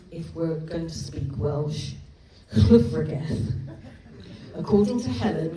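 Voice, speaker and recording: English author with a Gloucestershire accent reading to a live audience, unhurried, distant mic in an echoey hall. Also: female voice, fairly low pitch. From England. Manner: chatty and self-deprecating, frequent ums. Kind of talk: live reading of her own novel.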